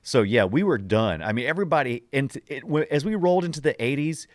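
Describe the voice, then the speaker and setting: A man in his forties hosting a podcast, chatting casually and nostalgically and telling stories in an animated way. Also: mid-range voice, fast talker, dry recording, clean studio sound. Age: 40s. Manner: animated storytelling with acted-out quotes. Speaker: podcast host.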